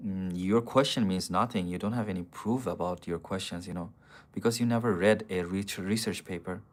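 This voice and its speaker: Blunt, low-voiced man streaming live. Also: brisk. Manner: blunt, profane, argumentative with chat. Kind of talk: casual live-stream chat, answering viewer comments. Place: city streets outdoors.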